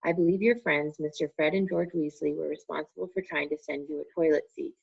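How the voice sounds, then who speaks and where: A woman voicing a kindly old male headmaster wizard in a soft, calm, unhurried manner, read as a storybook. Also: low voice, creaky, slightly rough, exaggerated voice. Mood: soothing. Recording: muffled lo-fi recording.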